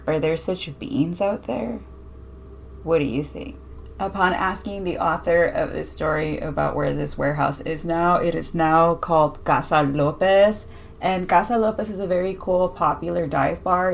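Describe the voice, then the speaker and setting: A woman's voice: sits low, vocal fry, a little hiss or hum. A woman hosting a podcast, reading a listener's spooky anecdote in a conversational way.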